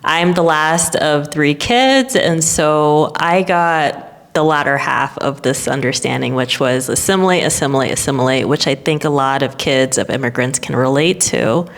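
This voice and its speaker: A woman with a notably low speaking voice talks straight to camera about her own life as an herbalist. She grew up in Florida and speaks in plain American English. Her delivery is candid and unhurried, with a reflective, personal tone. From Florida, United States.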